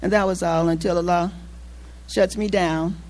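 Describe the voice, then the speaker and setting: A woman's voice: on the low side, fairly even intonation, moderate pace, darkish tone, poor audio. A woman giving an earnest personal public speech, recorded with some background noise and hum. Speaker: speaker closing a public address.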